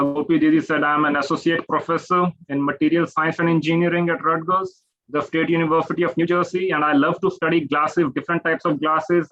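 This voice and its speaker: A man's voice: a little high, a bit bright. A man in his thirties with an Indian English accent, giving an informal online lecture with many fillers and restarts. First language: Hindi or Punjabi. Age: 30s. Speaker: guest lecturer. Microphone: video call. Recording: dull lo-fi video call audio.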